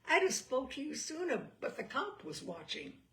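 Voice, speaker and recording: A woman's voice: high-pitched, very animated, normal speed, a bit bright, voice pushed up, full character voice. A woman reader acting a brash, eager young city gal. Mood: joyful, eager. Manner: joyful, slangy street talk.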